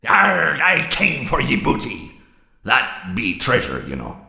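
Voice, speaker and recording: An actor voicing a cunning old pirate fox in a video game, with a gravelly voice and a stage pirate accent. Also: male voice, mid-range voice, huge pitch swings, slow pace, pushed and bright, heavily acted. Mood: gloating. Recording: echoey room.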